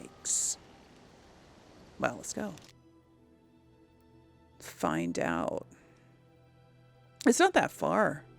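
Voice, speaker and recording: A woman's voice: low-pitched, huge pitch swings, very slow, creaky, pauses often, faint music behind. A woman giving casual, rambling commentary on a video game, thinking aloud to her viewers. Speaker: host of a video game let's play.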